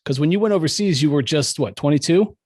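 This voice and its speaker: A man's voice: on the high side, lively intonation, fast talker, a little mellow, husky, no room sound. A man hosting an interview podcast, friendly and affirming, leading into questions with long setups. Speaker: podcast host. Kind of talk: casual interview.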